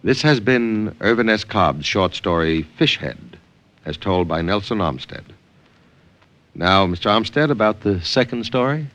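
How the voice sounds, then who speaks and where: A man's voice: lowish voice, lively intonation, a little slow, a bit bright. A man announcing formally on a 1950s radio program. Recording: vintage 1950s radio recording.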